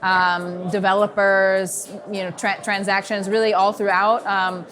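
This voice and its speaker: A woman's voice: mid-range voice, flat delivery, unhurried, a bit bright. A woman from New York with an American accent, talking fluently as an interview guest with plenty of "you know" fillers. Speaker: strategy consultant, guest. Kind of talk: conversational interview. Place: busy event venue in a container.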